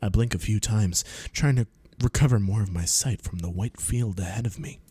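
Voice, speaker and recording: A reader voicing a shot, dying bird who narrates in first person and keeps bursting into high squawks and clucks. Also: moderate pace, warm tone, husky, no echo. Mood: dazed. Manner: breaks into squawks and clucks mid-sentence. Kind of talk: reading a game's narration aloud.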